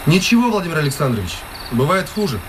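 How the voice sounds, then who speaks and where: An actor playing an easygoing, deferential village bus driver in a radio play, plain-spoken and obliging. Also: male voice, a little high, big pitch swings, quick pace, background noise. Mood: patient, obliging.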